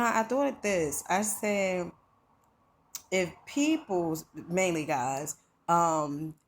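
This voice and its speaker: A middle-aged woman from New Jersey giving an earnest motivational talk, conversational and full of "you know" and "right?". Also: on the low side.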